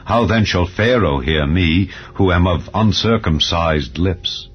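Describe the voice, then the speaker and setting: A man's voice: low voice, takes it slowly, breathy edge, heavily acted. A man playing a troubled prophet in a dramatized scripture reading, earnest, solemn and questioning. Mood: troubled, pleading. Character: Moses, troubled male prophet pleading with God. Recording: dull lo-fi audio over music. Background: calm background music.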